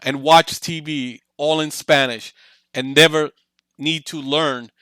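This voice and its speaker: A man's voice: on the high side, fairly even intonation, takes it slowly, a bit bright, clean tone, dry recording, low-quality recording. A man hosting a podcast, chatting casually with a guest in an interview style. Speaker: podcast host.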